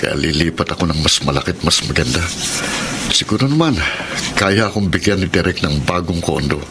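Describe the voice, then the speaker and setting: A man's voice: low voice, expressive, a little fast, background noise. A man in a radio drama playing an ambitious, troubled young star, earnest and conflicted. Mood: scheming. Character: ambitious, troubled male actor, proud and conflicted.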